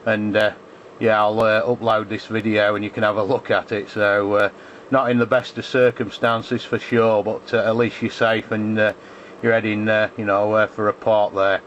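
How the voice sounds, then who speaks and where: Flat-toned English man on ham radio. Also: lowish voice, moderate pace. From England. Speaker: amateur radio operator. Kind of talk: casual ham radio contact. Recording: dull sound with faint radio noise.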